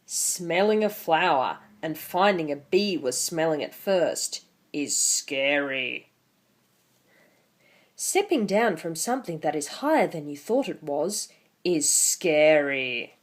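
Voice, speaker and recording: A woman's voice: low-pitched, expressive, takes it slowly. A woman in her twenties hosting an online video, talking casually and reading a picture book aloud with theatrical emphasis. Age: 20s.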